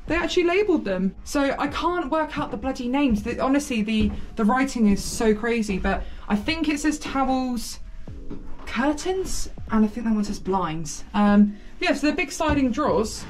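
Excitable young British woman exploring. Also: fairly high pitch, normal speed. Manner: excitable, chatty, exclaims often. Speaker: urban explorer host. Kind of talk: casual exploring vlog chat. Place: abandoned house indoors.

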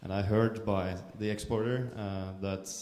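Hesitant low-voiced man in an echoey hall. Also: fairly even intonation, measured pace, darkish tone. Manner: hesitant, many fillers. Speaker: coffee buyer asking a question. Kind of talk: audience question.

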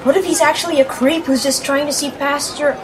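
A woman with a Filipino accent playing an impulsive, excitable eleven-year-old apprentice boy in a fantasy audio drama. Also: sits high, fairly quick, crowd noise, natural voice. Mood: curious, teasing. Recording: noisy with busy street ambience behind.

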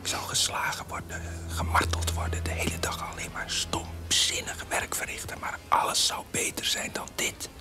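An actor in a radio drama playing an anguished, guilt-ridden figure who speaks in a hushed, breathy whisper. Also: moderate pace, few pauses, close to an everyday voice. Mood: anguished, despairing. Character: anguished child of Nazis, tormented by inherited guilt. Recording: music behind the voice.

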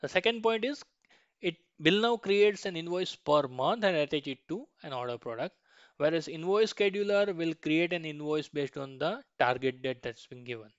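A man explains software step by step as a tutorial instructor, often checking in with short tags. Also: on the high side, moderate pace, clean tone, no echo, lo-fi sound. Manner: instructional, frequent checking tags like "right?" and "okay". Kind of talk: explanatory slide and screen-share tutorial.

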